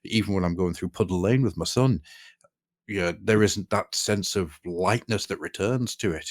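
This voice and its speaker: A man in his forties with a very low-pitched voice talks casually on a podcast. He rambles through tangents, swears easily and comments wryly on himself. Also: moderate pace. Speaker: podcast host.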